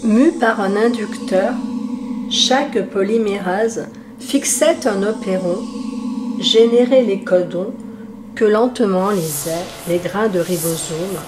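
A woman reciting an epic poem in a solemn, measured declamation, phrase by phrase. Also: mid-range voice, background noise. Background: loud music.

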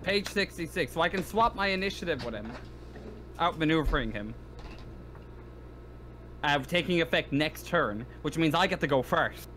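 A man playing a tabletop game, chatting casually in an animated, sweary way. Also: fairly high pitch, normal speed, pauses often, a little hiss or hum. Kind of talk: casual game chat over online session.

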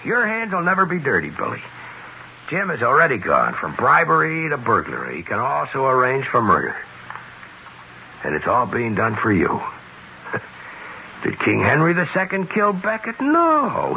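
An actor playing a relentless, sardonic, moralizing accuser in a radio drama, taunting with pointed questions. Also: male voice, a little high, huge pitch swings, moderate pace, creaky, sharp tone, full character voice. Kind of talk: 1970s radio drama acting. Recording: hissy muffled 1970s radio recording.

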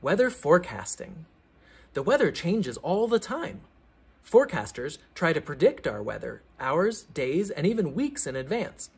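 A man reading a children's science book aloud like a teacher, with theatrical emphasis and clear explanatory phrasing. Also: on the high side.

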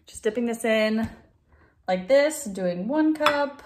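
A woman's voice: fairly high pitch, expressive, slow, noisy background. A young woman chatting casually to viewers in an upbeat vlog, talking while she eats in her home kitchen. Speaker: vlogger.

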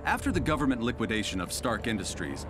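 An actor playing a grave, dramatic TV news reporter delivering a scripted report in a video game. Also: male voice, mid-range voice, very animated, fast talker, over music, lightly acted.

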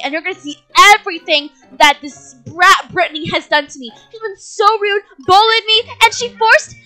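A young female plays a put-upon stepsister schoolgirl in a game roleplay. The voice is very high and bright, exasperated and protesting, over faint music. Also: full character voice, poor audio. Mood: pleading, accusing. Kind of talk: improvised children's game roleplay.

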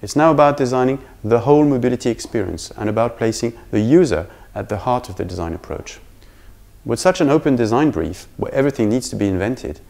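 Man, forties, low pitch, creaky voice, scripted presentation, department head, indoor lapel mic. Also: a little mellow. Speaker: head of transportation design. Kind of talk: scripted promotional presentation to camera. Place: indoor room with bookshelves.

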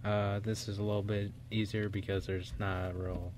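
A man's voice: on the low side, flat delivery, normal speed, noisy background. A young man giving casual, hesitant remarks as a guest on a radio show.

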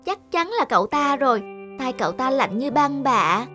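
An earnest young village child in a children's cartoon, with a high, childlike voice. The delivery is spirited and sincere, over a faint bed of music. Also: female voice, big pitch swings, normal speed, bright, forceful tone, exaggerated voice. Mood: worried.

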